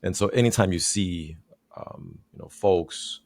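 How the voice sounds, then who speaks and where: A man born in Haiti hosts a podcast, speaking in a very low, gravelly voice. He asks his questions in a measured, thoughtful way, padding them with fillers. The sound is dry and close. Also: darkish tone, no room sound. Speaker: podcast host. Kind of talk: conversational interview.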